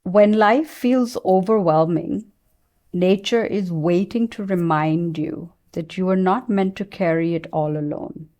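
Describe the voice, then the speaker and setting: Slow, very mellow woman guiding meditation. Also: fairly low pitch. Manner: soothing, gentle, unhurried with long pauses. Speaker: meditation guide. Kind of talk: guided meditation.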